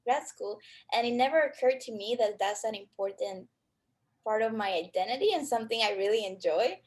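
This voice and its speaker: A woman's voice: mid-range voice, a little mellow. A woman who works as a data scientist, reflecting casually on a panel, chatty with fillers and repeated words.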